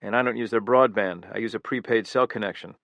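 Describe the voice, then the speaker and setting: Guy doing a gravelly menacing killer, bitter and threatening, dry close sound. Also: fairly low pitch, quick pace, no echo, highs cut off, close to an everyday voice. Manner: threatening, rising to angry outbursts.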